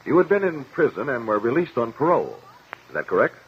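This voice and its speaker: An actor playing a firm, formal lawyer, probing and commanding, in a 1950s radio courtroom drama. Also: male voice, medium pitch, very animated, fast talker, husky, exaggerated voice. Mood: pressing. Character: firm, probing lawyer cross-examining a witness. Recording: muffled 1950 radio broadcast.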